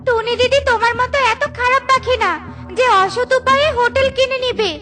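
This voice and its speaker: Casting: woman to play indignant female bird for a kids' cartoon, piercingly high, scolding, over clear music. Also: steady tone, brisk. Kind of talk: children's cartoon dialogue.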